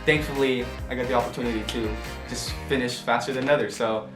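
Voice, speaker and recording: A man's voice: medium pitch, fairly even intonation, reverberant, over music. A young man in his late teens chatting casually and playfully, answering questions about himself to camera. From United States. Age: young adult. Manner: bubbly and playful, quick laughs and jokey asides. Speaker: social media influencer.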